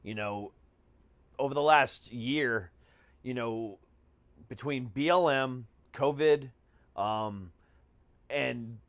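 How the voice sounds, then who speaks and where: A man's voice: mid-range voice, slow, pauses often, no echo. Man, podcast host, casual chat, profane and emphatic, slangy, very dry sound.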